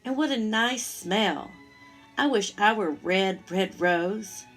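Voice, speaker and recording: A woman's voice: medium pitch, takes it slowly, fairly bright tone, soft background music. A woman voicing a small, polite, wistful male caterpillar in a children's storybook, full of simple admiring exclamations. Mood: admiring, wishful.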